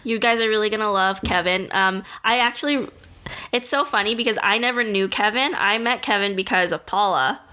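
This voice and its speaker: A woman's voice: mid-range voice, a little fast, bright, forceful tone. A woman co-hosting a podcast in casual chat, upbeat and talkative, filling her speech with "like". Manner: chatty, upbeat, says "like" often. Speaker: podcast co-host.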